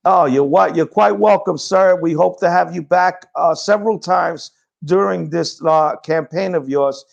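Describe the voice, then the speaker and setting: A man's voice: sits high, a little slow, creaky, dull audio. A man hosting a talk show, introducing his guest in a brash, informal way. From Puerto Rican heritage. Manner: brash, informal, hesitant over names.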